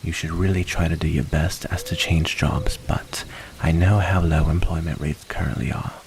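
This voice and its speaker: A man playing a wary, earnest and protective werewolf in an ASMR roleplay, giving hushed warnings with breathy pauses. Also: rumbling low, steady tone. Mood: pleading concern.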